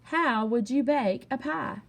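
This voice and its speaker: A woman reading a children's story aloud in an animated, expressive way with emphatic delivery. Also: high voice, slow pace, gravelly. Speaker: storybook reader.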